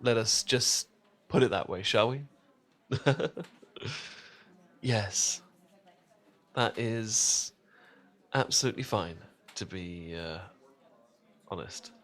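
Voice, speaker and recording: A man's voice: on the low side, slow pace, pauses often, fairly bright tone. A man playing an affectionate, tea-loving English boyfriend in a romantic roleplay audio, talking in a hesitant, stammering, enthusiastic way with a British accent. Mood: sheepish, affectionate. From England.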